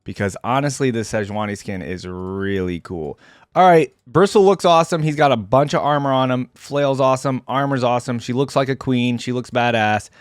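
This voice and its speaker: A man's voice: mid-range voice, moderate pace, no echo. Male reaction host, mid-range voice, informal and opinionated, commenting and reading aloud, very dry close recording. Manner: informal, opinionated fan talk. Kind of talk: casual commentary mixed with reading wiki text aloud.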